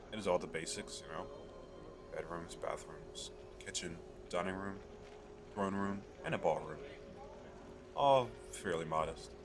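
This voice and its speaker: A low, deep male fantasy shopkeeper, played by a man, talks straight to the listener in an ASMR roleplay. He is an eager, chatty salesman who coaxes and drops in hesitant asides. The recording has clear background noise. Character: eager, chatty male fantasy merchant selling magical crystal castles.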